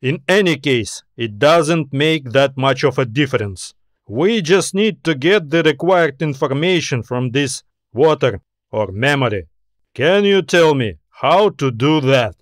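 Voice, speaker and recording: A man's voice: a little high, expressive, a little slow, no room sound. A man performs a space-fleet admiral for an audiobook, in a dry, close recording. He is confident and practical, speaking with command and a businesslike edge. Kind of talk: audiobook character dialogue.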